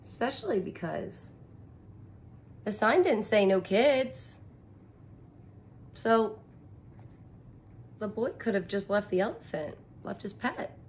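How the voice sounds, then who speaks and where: Slow-paced woman reading to kids. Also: medium pitch, slight background noise. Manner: expressive, exaggerated wonder, simple child-directed phrasing. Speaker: teacher reading aloud to children. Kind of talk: picture-book read-aloud with commentary for young children. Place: small indoor room, curtained corner.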